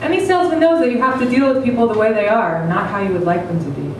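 Woman, thirties, quick delivery, dramatic fiction reading, distant mic, noisy. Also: fairly high pitch, heavy reverb. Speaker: reader at a literary event. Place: stage of a small event room with an audience. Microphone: table microphone on a stand.

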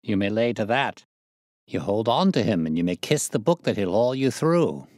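A man's voice: lowish voice, normal speed, no room sound, clean studio sound, natural voice. A man reading a story aloud, voicing a boastful, swaggering young male convict who leads a plot. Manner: boastful, coarse, excitable.

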